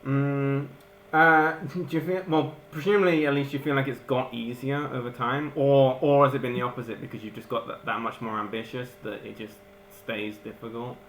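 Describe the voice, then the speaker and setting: A man hosting a casual podcast chat, chatty, quick to agree and joke. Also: mid-range voice, level tone, fairly bright tone, roomy sound, a little hiss or hum, poor audio. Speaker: podcast host.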